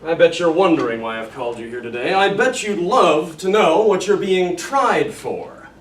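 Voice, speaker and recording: A man's voice: a little high, lively intonation, moderate pace, pitched above the performer's own voice, close to an everyday voice. A man playing a pompous, bullying army colonel who gets confused and exasperated, in an amateur comedy scene. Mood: stern, smug. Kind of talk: amateur comedic stage dialogue. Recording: roomy, distant mic, faint noise.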